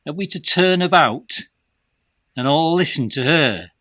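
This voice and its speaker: A man acting a troubled, doubting disciple in a dramatised ancient religious text, speaking intensely and deliberately in short, broken phrases. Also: on the high side, animated, bright, forceful tone, no room sound. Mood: indignant, scornful.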